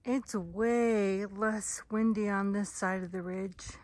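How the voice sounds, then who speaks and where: A woman's voice: mid-range voice, fairly even intonation, slow, background noise. A woman vlogging outdoors on a mountain trail, narrating her hike in an upbeat, chatty way into a handheld camera. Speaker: thru-hiker vlogger. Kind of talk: casual vlog narration.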